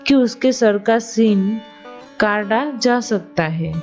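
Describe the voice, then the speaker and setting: A woman playing a reassuring village priest in a Hindi moral story cartoon, speaking in measured phrases. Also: mid-range voice, a little mellow, dull audio, faint music behind, natural voice. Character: reassuring male village priest, a proud father.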